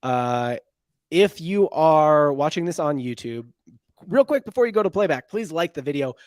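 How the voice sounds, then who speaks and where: A man's voice: a little high, animated, normal speed, no echo, studio quality. A man hosting a casual sports podcast, talking loosely with lots of fillers and restarts as he runs through giveaways and sign-offs. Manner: loose, rambling delivery with many fillers and restarts. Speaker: podcast host.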